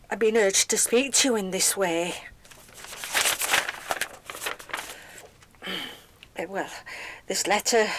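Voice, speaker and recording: A woman playing a hesitant, nervous 1940s announcer who haltingly reads out a formal factory letter in a radio play. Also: mid-range voice, fairly bright tone, slight background noise. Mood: flustered, apologetic. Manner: hesitant, stammering, deliberate.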